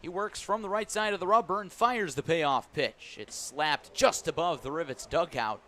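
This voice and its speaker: A man's voice: fairly high pitch, moderate pace, pushed and bright. An American man giving live radio play-by-play of a baseball game as the announcer. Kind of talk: live radio sports commentary.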